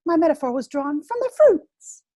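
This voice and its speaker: A woman playing a prim, coy, middle-aged governess who moralizes pointedly in a dramatic reading of a stage comedy, with a husky voice. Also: high-pitched, big pitch swings, normal speed, mellow, no echo, studio quality. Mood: coyly moralizing.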